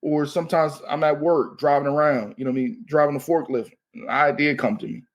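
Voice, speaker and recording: A man's voice: a little high, steady tone, fairly quick. A man in his thirties from Maryland, a comedian guest talking casually and loosely, often saying "you know what I mean.". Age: 30s. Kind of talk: casual podcast chat.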